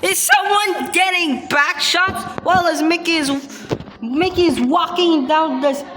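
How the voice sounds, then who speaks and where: Echoey, high-voiced woman reacting bluntly. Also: unhurried, fairly bright tone. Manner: blunt, sweary, dismissive. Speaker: reaction video host. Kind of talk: casual reaction commentary. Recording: echoey room with reverb, faint noise.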